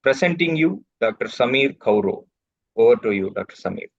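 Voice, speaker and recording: A man hosts an online seminar, formally reading out a guest's credentials in measured bursts. His voice sits at a middle pitch. The sound is dull and dry, like call audio. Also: expressive, gravelly, dry recording. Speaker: host introducing a guest speaker. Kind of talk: formal reading of a speaker introduction.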